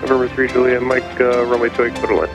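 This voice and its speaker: A man working as an airport tower controller, giving brisk procedural calls over aviation radio. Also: mid-range voice, little pitch movement. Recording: aviation radio with loud music behind.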